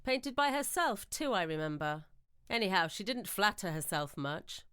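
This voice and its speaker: Woman playing a hearty, bluff English gentleman. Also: mid-range voice, big pitch swings, clean tone, dry recording, clean studio sound. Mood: jovial, bluff. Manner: brisk, old-fashioned upper-class phrasing. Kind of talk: audio drama character voice.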